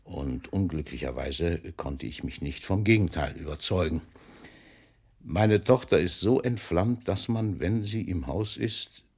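Husky, deep-voiced old poet, theatrical. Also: male voice, a little slow, darkish tone, full character voice. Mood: theatrical, mock-indignant. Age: older. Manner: declamatory, swings between booming outbursts and whispers. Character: older famous poet, theatrical, mocking, fatherly toward a young postman. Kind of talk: German radio drama acting.

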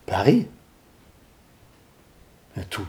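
Man, older, grandfather, singer guest, dark mellow voice, rambling, halting, blunt. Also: a little hiss or hum. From Switzerland. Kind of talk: casual radio interview.

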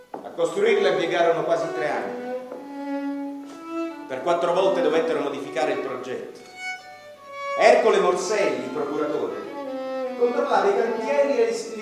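A man narrating a radio play as a theatrical monologue, declamatory, bitter and mournful, with sharp swings in loudness. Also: high-pitched, heavy reverb, recorded from a distance. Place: theatre stage. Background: violin music.

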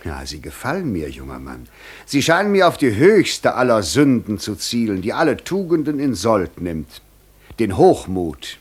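An actor playing a suave, sly, mocking devil in a German radio play, with an insinuating, teasing manner. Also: male voice, lowish voice, wildly swooping pitch, normal speed, husky, full character voice. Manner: insinuating, teasing, feigned puzzlement.

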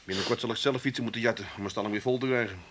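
An actor playing a dry, wary, hard-up bicycle-garage keeper with an Amsterdam accent in a comic radio drama. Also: male voice, medium pitch, fairly even intonation, rapid-fire, fairly bright tone, breathy edge. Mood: wry. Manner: wry, colloquial.

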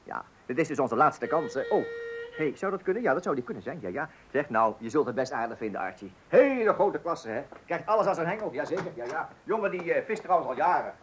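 Radio drama husband: boyishly eager amateur angler, stammering and repetitive, voice swooping high and low at a quick clip. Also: male voice, sing-song, noisy background. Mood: enthusiastic, pleading. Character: excitable, boyishly enthusiastic husband, an office worker and amateur angler. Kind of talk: radio play acting.

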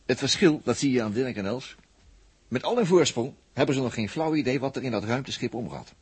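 A man with a Netherlands Dutch accent acting a shrewd, confident captive spaceman in a 1960s radio drama, speaking deliberately and with emphasis. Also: mid-range voice, lively intonation, husky. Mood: confident, eager. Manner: deliberate, emphatic, with repeated phrases. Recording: vintage 1960s radio recording.

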